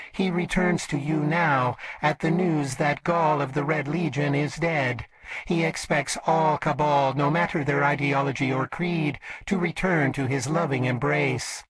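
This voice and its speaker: An actor playing a courteous robot servant of an exiled emperor in a video game, polite, formal and servile. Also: male voice, a little high, a little slow, background noise, lightly acted. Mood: formal, devout. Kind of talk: scripted game character lines.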